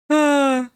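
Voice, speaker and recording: Casual woman streamer, slightly congested and wheezy, slow and chatty, dry close sound. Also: high-pitched, no echo. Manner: chatty, swears casually, laughs often. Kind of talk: casual live-stream chat.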